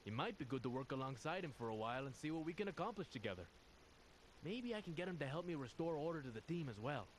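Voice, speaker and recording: Troubled squad officer, slightly high male voice, swinging intonation, earnest and confiding, deliberate game audio-log monologue, noisy recording. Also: very animated. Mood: frustrated, worried.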